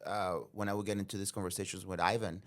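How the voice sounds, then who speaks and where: A man hosts a podcast in a relaxed, conversational way. He is chatty and keeps affirming what his guest says. He often breaks off to share his own stories. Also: sits low. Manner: chatty, affirming, quick to agree. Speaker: podcast host. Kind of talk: casual interview talk.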